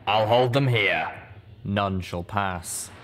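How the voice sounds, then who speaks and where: Casting: male reader as a commanding warrior stallion in armor, defiant, slow, declaiming, fantasy audiobook. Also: on the low side, a bit bright, slight background noise.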